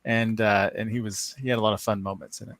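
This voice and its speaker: Guy on a fan panel, kinda low voice, dry mic, casual opinions. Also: little pitch movement, fast, vocal fry, fairly bright tone, no echo.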